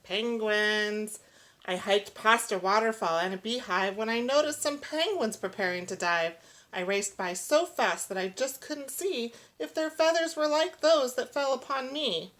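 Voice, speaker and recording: A woman reads a children's storybook aloud in the manner of a teacher. Her delivery is expressive and follows the bounce of rhyming verse, with a voice at an ordinary middle pitch. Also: unhurried.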